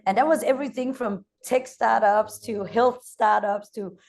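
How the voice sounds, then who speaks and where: A woman from Denmark in her thirties with a Danish accent, speaking as an energetic company founder in an interview and pausing often on "uh". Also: a little high, vocal fry. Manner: energetic, frequent "uh" hesitations. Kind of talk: interview conversation.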